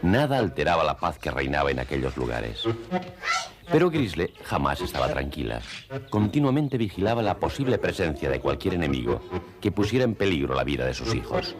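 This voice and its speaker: A man narrating an animated nature tale in Castilian Spanish, in a scripted storybook style. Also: a bass voice, a little fast. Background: music.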